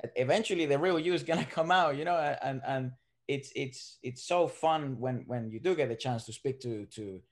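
A man's voice: medium pitch, moderate pace. A young man co-hosting a podcast from a living room, laid-back and rambling in casual conversation. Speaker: podcast co-host.

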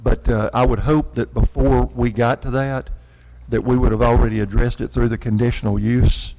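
A man's voice: mid-range voice, level tone, moderate pace, dark tone. A man answering questions as a city official in a formal council meeting, in a chamber. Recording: reverberant meeting hall.

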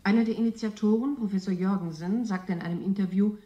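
An actress playing a formal, composed news anchor reading a bulletin in a radio drama, with echo. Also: female voice, medium pitch, fairly even intonation, quick pace, mellow, highs cut off, lightly acted. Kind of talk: newsreading within a radio drama.

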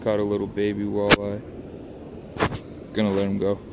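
A man's voice: on the low side, completely flat delivery, moderate pace, very noisy. A young man talking casually to an action camera outdoors while fishing, in a plain, matter-of-fact way. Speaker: fishing vlogger. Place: outdoors by a truck and a river at dusk. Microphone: wide-angle action camera held close.

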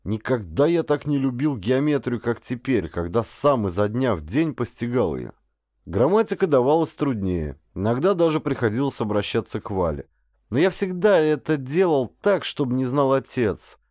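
A man narrating a first-person literary story as an audiobook, with expressive, acted storytelling. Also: medium pitch, moderate pace, dry recording, clean studio sound.

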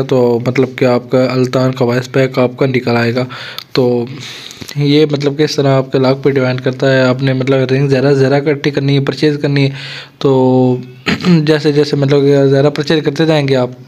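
A man's voice: mid-range voice, a little mellow. A man with a Pakistani Urdu accent, chatting through a game tutorial as a channel host, leaning on fillers. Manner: chatty, repeats filler "matlab" often. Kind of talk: casual screen-recorded game tutorial. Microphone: voice-over on a screen recording.

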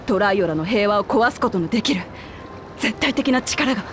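A woman voicing a young lion-woman warrior queen in a video game, passionate and earnest, with rough, tomboyish speech. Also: mid-range voice, expressive, brisk, background noise, close to an everyday voice. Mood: grave, resolute. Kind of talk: dramatic video game voice acting.

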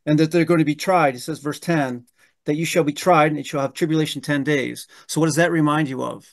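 Gravelly middle-aged man teaching on a video call. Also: on the high side, steady tone, fairly quick. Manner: restarts sentences often, asks rhetorical "right?" questions. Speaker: pastor leading a Bible study. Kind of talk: teaching a Bible study. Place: room at home. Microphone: webcam on a video call.

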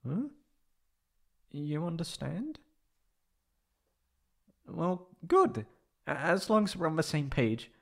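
A man's voice: on the high side, vocal fry. A man voicing a proud but insecure, emotional tsundere catboy in an ASMR roleplay, with hesitant, stammering speech.

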